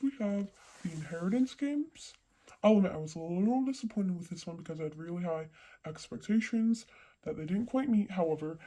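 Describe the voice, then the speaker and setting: Mellow, voice-altered casual book vlogger. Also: medium pitch, rough recording. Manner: rambling, informal, peppered with slang. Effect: voice-changing effect. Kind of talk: casual monthly reading wrap-up chat.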